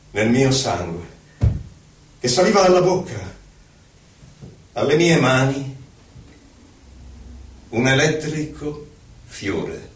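An actor playing a melancholy, haunted poet who recalls his life in solemn, deliberate theatrical verse with dramatic pauses. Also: fairly high pitch. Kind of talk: theatrical poetry recitation. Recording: echoey hall from far off, faint music. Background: faint music, violin.

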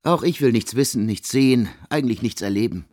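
A man's voice: medium pitch, a little fast, darkish tone, no echo, close to an everyday voice. Man acting aloof brainy composer in a radio play, formal mannered talk, super dry recording. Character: aloof, cerebral male composer with fixed opinions. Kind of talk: literary radio drama monologue.

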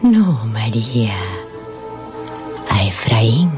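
An actress playing a gentle, middle-aged mother of a genteel household, measured and coaxing, in a radio drama. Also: a bass voice, sing-song, exaggerated voice. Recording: music under the voice.

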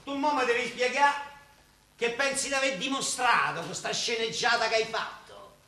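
An actor playing an impatient, blustering bricklayer father in an Italian stage drama, loud and quarrelsome, with a Roman accent. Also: very high-pitched, moderate pace. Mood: sarcastic. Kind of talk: Italian stage comedy-drama acting in dialect. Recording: echoey stage recording.

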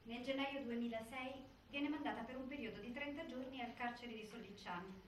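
A woman's voice: a little high, brisk, lightly acted. Actress as sober female narrator, echoey stage. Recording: echoey stage, distant, faint noise.

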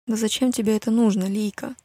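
A woman's voice: a little high, steady tone, normal speed, mellow, breathy edge, dry recording. A woman voicing a thoughtful, questioning teenage boy in an audiobook, in colloquial speech. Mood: hurt, bitter.

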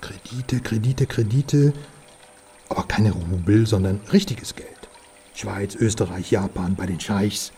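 An actor playing a worldly, wry informant in a radio crime drama, talking in knowing, clipped conversational phrases. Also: male voice, on the low side, unhurried, dark tone. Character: worldly, wry male informant passing on political secrets.

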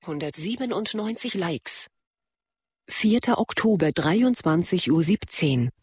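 A woman's voice: on the low side, animated, unhurried, darkish tone. A woman playing an impersonal announcer in a radio drama, giving matter-of-fact, clipped announcements with detachment. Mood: neutral, detached. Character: impersonal, detached announcer of social media statistics. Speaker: announcer of post dates and like counts.

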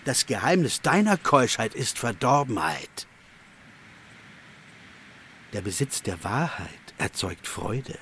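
An actor in a radio drama playing a shrunken, sly tempter who poses as a former pupil, speaking with insinuating, reproachful persuasion. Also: male voice, mid-range voice, lively intonation, slow pace, long pauses, fairly bright tone, a little hiss or hum. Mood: mocking, accusing. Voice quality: husky.

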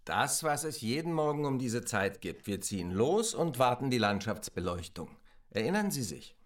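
A man's voice: medium pitch, very animated, normal speed, natural voice. An actor playing an unflappable, instructing male engineer in a German radio drama. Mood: dry, insistent. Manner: dry, instructing. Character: dry, unflappable male engineer rousing his helper for work. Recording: voice distant from the microphone.

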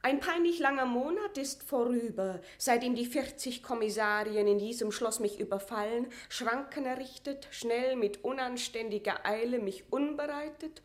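A proud, imperious captive queen played by a woman in a classical radio drama. Her voice sits fairly high, and she declaims formal verse with measured, aggrieved dignity. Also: close to an everyday voice. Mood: aggrieved, dignified.

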